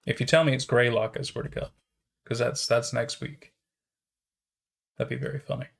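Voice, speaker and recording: A man's voice: medium pitch, little pitch movement, normal speed, creaky. A man casually chatting and reacting as a livestreamer, musing aloud and often repeating his phrases. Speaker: streamer reacting to horror videos.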